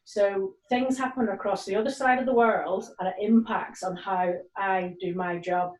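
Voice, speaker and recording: A woman's voice: medium pitch, fairly even intonation, normal speed, a little mellow. A woman in her forties, a police community cohesion sergeant, speaking earnestly on a video call with many ums and restarts. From Nigeria and Northern Ireland. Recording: roomy, distant video call audio.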